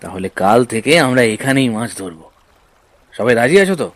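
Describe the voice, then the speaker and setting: A man's voice: mid-range voice, expressive, a little fast, no echo, lightly acted. A man voicing a plain-spoken, decisive village fisherman in a Bangla cartoon.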